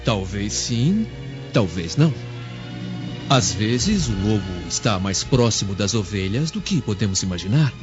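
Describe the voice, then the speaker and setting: An actor playing a cunning, vengeful young male slave in an ancient Roman radio serial, speaking in a measured, deferential and insinuating way. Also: fairly low pitch, sing-song. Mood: sly, menacing. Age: young adult. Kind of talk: dramatized radio serial acting. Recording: dull lo-fi audio with music underneath.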